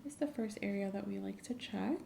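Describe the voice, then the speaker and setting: Soft, mellow woman whispering in ASMR. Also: mid-range voice, a little fast, vocal fry, slight background noise. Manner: soft-spoken, often whispering, gentle, clinical. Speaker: ASMR performer playing a medical examiner. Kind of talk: ASMR medical exam roleplay.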